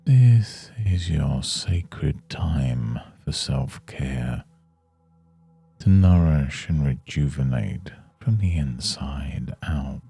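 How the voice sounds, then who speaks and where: Very deep, slow, gravelly male meditation guide. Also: animated, soft background music. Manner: soothing, hushed, unhurried delivery. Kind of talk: guided yoga nidra meditation.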